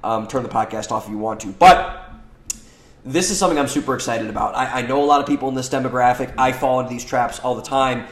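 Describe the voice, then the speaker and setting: A young man with a stuffy, nasal voice from a cold, talking through a solo podcast with eager, rambling energy and frequent restarts. His pitch sits in the middle range. The sound carries a clear echo from the room around him. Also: fast talker. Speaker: podcast host. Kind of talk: solo podcast monologue, partly reading his essay. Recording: echoey room.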